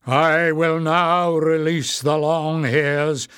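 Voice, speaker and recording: A man's voice: a little high, little pitch movement, drawn-out words, a bit bright, clear, resonant tone, no echo, studio quality, pitched above the performer's own voice. A man voicing a wrinkled old male goblin official who gives stern, scolding orders. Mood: imperious.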